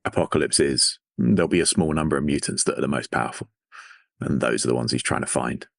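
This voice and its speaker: Very deep-voiced male podcast co-host. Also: fast, vocal fry, dry recording, studio quality. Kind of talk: casual chat.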